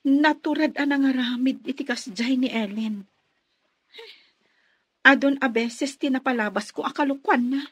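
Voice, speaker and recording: A woman's voice: high voice, a little slow, pauses often, breathy edge, no echo. An actress playing a devoted young woman in an Ilocano radio drama, a hurt but trusting daughter and sweetheart. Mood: hurt, dismayed.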